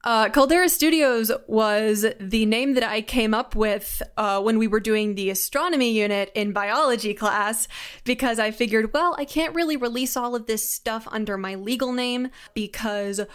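A woman's voice: fairly high pitch, moderate pace, clear, resonant tone. A young adult woman answering interview questions as a podcast guest, conversational, articulate and enthusiastic. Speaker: audio drama creator, podcast guest.

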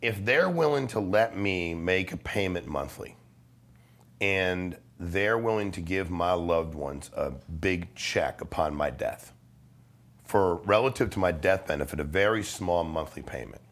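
Man, middle-aged, deep voice, podcast guest, blunt, slangy, emphatic, persuasive. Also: lively intonation, unhurried, a bit bright. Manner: blunt, emphatic, swears, uses rhetorical questions and slang. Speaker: podcast guest, life insurance salesman. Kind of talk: casual, persuasive interview talk.

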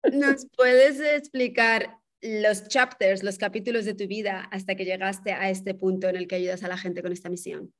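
A woman's voice: mid-range voice, steady tone, moderate pace, hardly any pauses. A woman hosting a podcast, introducing her guest with enthusiasm and switching between two languages. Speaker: podcast host. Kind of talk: interview hosting.

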